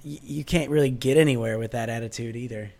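A man talks over a remote call, hoarse and raspy from a cold. He rambles in a relaxed way and slips in dry self-mocking jokes. His pitch sits right in the middle for a man. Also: fast talker. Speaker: guest, vlogger and musician. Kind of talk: casual interview chat.

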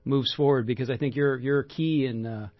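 A man's voice: mid-range voice, steady tone, moderate pace, no room sound, lo-fi sound. A man hosting a local history radio show, enthusiastic and a bit rambling. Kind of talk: radio talk interview.

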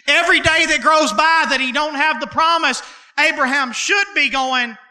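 Male pastor, 30s, folksy sermon delivery, very high and piercingly bright voice. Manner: folksy and conversational, slips into dialect; preaching cadence with drawn-out emphasis.

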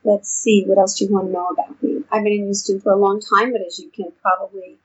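Older woman, New York accent, husky voice, measured interview answers. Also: medium pitch, little pitch movement. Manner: measured, frequent ums, explanatory. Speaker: vestibular researcher and therapist. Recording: dull lo-fi audio.

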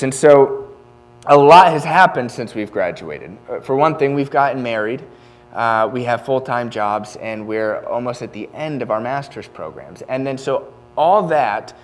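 A young man in his twenties delivers a sermon with earnest conviction. He keeps it conversational, circling back and restarting phrases as he talks, and his voice sits at an ordinary middle pitch. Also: moderate pace, faint music behind. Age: 20s. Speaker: preacher.

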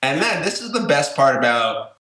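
A man's voice: on the high side, big pitch swings, normal speed, bright, forceful tone. A man in his early twenties chatting casually as a podcast co-host, loose and chatty, peppering his talk with "like". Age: early 20s. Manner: loose, chatty, filler-heavy with "like". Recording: roomy, echoing sound.

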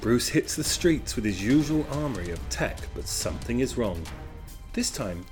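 Male podcast host, very animated intonation, mid voice, eager questioner. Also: medium pitch, moderate pace, soft background music. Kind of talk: scripted intro and casual interview questions.

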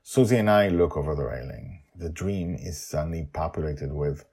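Man as a low, husky storytelling grandfather. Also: mellow, heavily acted. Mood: wistful. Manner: measured, simple present-tense storytelling. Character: wistful forgetful grandfather narrating a fantasy adventure.

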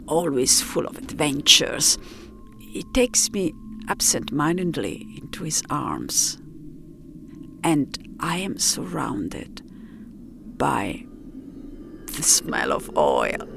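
A woman narrating her own scripted first-person story in a reflective, unhurried manner with deliberate pauses. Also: low-pitched, creaky, background noise.

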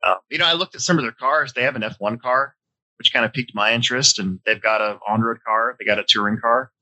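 Stuffy-nosed man in his forties, bright voice. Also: medium pitch, fast, low-quality recording. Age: 40s. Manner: rambling, loose, chatty. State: stuffy nose. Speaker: podcast host. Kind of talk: casual chat. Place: at home.

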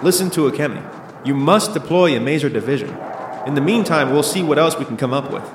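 A man's voice: on the high side, fast, lightly acted. A man playing a level-headed monster scientist in an audio drama, explaining in an assured, analytical way. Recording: echoey, with clear background noise.

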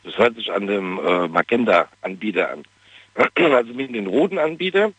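Caller, man with a regional German dialect, lowish lively voice, slightly husky, muffled phone line. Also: normal speed, no room sound. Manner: enthusiastic, many "äh" fillers and "ja?" tags.

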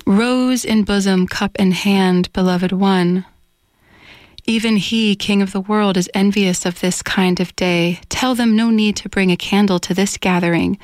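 A woman's voice: medium pitch, steady tone, measured pace, no echo, studio quality. A woman singer-songwriter in a casual radio interview, chatty, searching for words and laughing often. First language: English. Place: radio studio.

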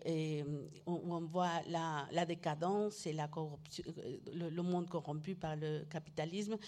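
Woman lecturing in halting French. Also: on the low side, a little mellow. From Mexico. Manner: halting, frequent restarts and self-corrections in a second language. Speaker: guest lecturer, artist. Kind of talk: lecture with slides.

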